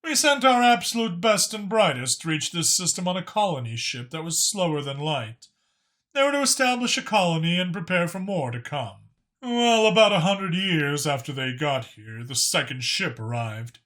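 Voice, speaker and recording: A man's voice: on the high side, expressive, moderate pace, a bit bright, pitched above the performer's own voice. A man voicing a talkative alien dragon informant who explains things knowingly and with emphasis in an audiobook story. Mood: solemn, explanatory.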